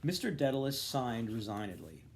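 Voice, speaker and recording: A man giving an expressive, animated reading of a novel, acting out the dialogue. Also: medium pitch, normal speed. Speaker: volunteer reader. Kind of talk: expressive literary audiobook-style reading.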